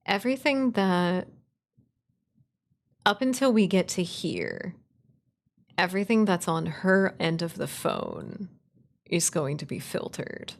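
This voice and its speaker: Creaky-voiced woman streamer chatting casually. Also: fairly low pitch. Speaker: streamer, writer and voice actor. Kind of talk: casual livestream chat while working.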